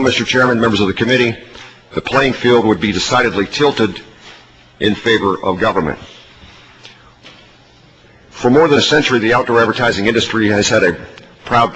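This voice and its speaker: A man giving formal, measured testimony as an industry representative at a public hearing. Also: on the low side, a bit bright. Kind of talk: formal prepared testimony and answers. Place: large hearing room. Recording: reverberant hall, faint background noise.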